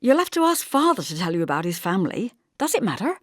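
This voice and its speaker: Woman playing an awkward, evasive young woman, animated. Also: medium pitch, quick pace, no echo, clean studio sound, voice pushed up. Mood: defensive. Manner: hesitant, apologetic. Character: awkward, evasive young bridesmaid cousin, female.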